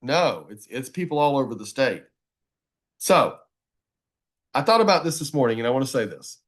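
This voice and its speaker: A man's voice: mid-range voice, sing-song, normal speed, a bit bright. A man giving a motivational advocacy talk, emphatic and folksy, often repeating phrases for weight. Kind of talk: motivational presentation to a group.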